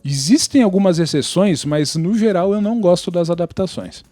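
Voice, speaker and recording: A man's voice: high-pitched, moderate pace, dark tone, dry recording, soft background music. A man with a Brazilian Portuguese accent explaining his opinions in a casual podcast discussion. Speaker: podcast participant.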